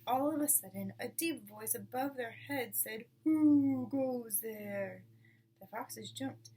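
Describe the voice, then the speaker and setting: A woman's voice: on the high side, moderate pace. A woman reading a children's book aloud as the narrator. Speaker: storybook reader. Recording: distant mic with clear background noise.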